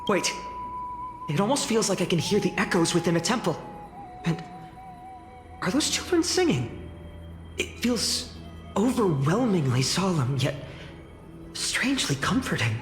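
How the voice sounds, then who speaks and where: Lively, earnest young sword trainee. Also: female voice, lowish voice, unhurried, long pauses. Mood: awed, hesitant. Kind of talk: scripted game voice acting. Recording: echoing hall reverb, faint music.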